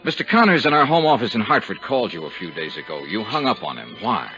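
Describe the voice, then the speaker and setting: An actor with an American accent playing a blunt, probing insurance investigator in a 1950s radio drama, speaking in a direct, clipped way. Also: male voice, fairly low pitch, sing-song, quick pace. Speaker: radio drama lead, narrator. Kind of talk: 1950s radio detective acting. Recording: dull 1950s radio recording, faint music.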